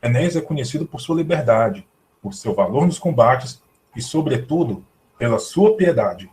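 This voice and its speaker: A man's voice: medium pitch, lively intonation, normal speed, a little mellow, lightly acted. Man as earnest veteran warrior giving counsel. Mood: earnest warning. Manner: earnest, formal, persuasive. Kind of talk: audio drama acting of classical epic.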